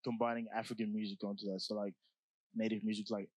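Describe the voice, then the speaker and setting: A man's voice: lowish voice, flat delivery, fast, darkish tone, dry recording. A young man from South Sudan, talking about his music in a laid-back, slangy way, full of "like" and "you know". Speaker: rapper guest. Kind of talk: casual interview.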